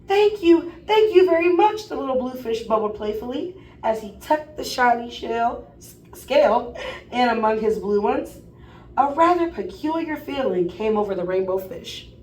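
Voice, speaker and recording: A woman teacher reading a children's picture book aloud in an expressive storytelling style. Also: fairly high pitch. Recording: roomy, distant mic, faint noise.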